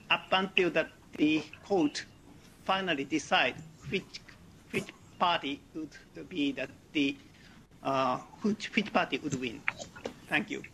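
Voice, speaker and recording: A man speaking English as a second language makes halting, careful points in a meeting, restarting as he searches for words. His voice sits a little high, and clear noise runs under the call. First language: not English. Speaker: board member in a meeting. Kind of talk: formal remote meeting discussion.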